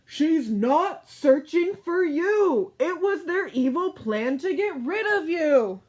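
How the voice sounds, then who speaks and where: A woman's voice: high-pitched, animated, a little mellow, pitched above the performer's own voice. A woman playing an exasperated storyteller who corrects her wayward characters in a comic children's read-aloud. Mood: blunt. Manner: deliberate, exasperated.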